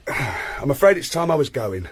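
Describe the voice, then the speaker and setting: A bashful young detective. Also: male voice, mid-range voice, sing-song, unhurried, husky. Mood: wry. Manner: stammering shyly around a young woman. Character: young Scotland Yard detective, earnest, bashful. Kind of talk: period radio drama acting.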